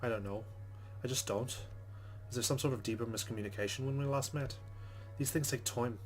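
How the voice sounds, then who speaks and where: A man's voice: mid-range voice, brisk, over music. A man hosting a let's play, reading game narration aloud with casual commentary.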